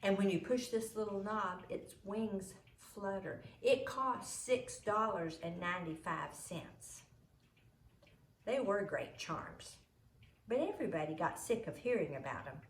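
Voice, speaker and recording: A woman reading a children's book aloud as a storyteller, in an expressive way. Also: medium pitch, measured pace. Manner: expressive, acts out the dialogue. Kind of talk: storybook read-aloud narration. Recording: roomy echo, noisy home recording.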